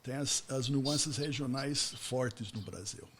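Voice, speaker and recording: A man's voice: medium pitch, slow, fairly bright tone, a little hiss or hum. Man chatting in Portuguese. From United States. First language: English. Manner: chatty, checks in with tag questions. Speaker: podcast host. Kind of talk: casual interview chat.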